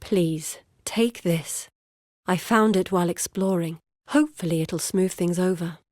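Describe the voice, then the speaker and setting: An actress voicing an earnest, guarded woman explorer in a video game, speaking in a measured, grave and deliberate way. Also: fairly low pitch, a little mellow, no echo, clean studio sound, natural voice. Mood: confessional, earnest. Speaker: video game character voice actor. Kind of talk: scripted game character dialogue.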